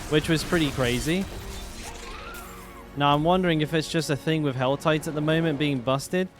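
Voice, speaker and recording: A man's voice: a little high, moderate pace. A man streaming a game, chatting casually and rambling with lots of fillers. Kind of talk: casual gameplay commentary. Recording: game music behind voice. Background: game music.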